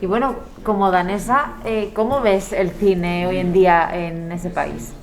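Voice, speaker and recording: A woman asking questions as an interviewer in a halting, conversational way. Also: on the low side, steady tone. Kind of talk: casual festival interview questions. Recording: crowd chatter behind voice.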